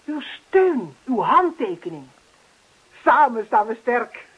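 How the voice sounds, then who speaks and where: High-pitched, insistent campaigner. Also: female voice, very animated, slow, breathy edge, full character voice. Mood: insistent, rallying. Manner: persistent, officious, speechifying. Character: earnest, insistent female neighbourhood action-committee campaigner. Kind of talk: radio play acting. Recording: dull lo-fi radio play recording.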